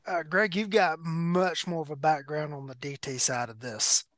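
Higher-voiced man moderating, very dry sound. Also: steady tone, normal speed, fairly bright tone, no room sound. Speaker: panel moderator. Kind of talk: panel discussion.

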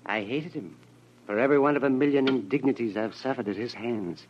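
An actor playing a scheming, bitter villain with a husky voice, sneering in precise, theatrical 1940s radio diction. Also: male voice, medium pitch, a little fast, a little hiss or hum, close to an everyday voice. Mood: bitter, cold. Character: scheming, bitter male villain holding a gun. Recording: dull old radio recording.

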